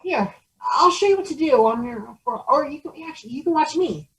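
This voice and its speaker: A woman's voice: sits high, animated, brisk, rough recording. A woman voicing a timid, polite boy who hesitates and stammers as he pleads. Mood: helpful.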